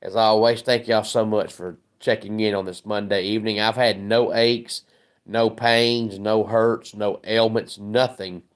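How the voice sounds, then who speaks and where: A man hosting a casual sports livestream, hoarse and scratchy from a head cold, chatting and greeting viewers by name. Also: on the low side, flat delivery, measured pace. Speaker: sports channel host.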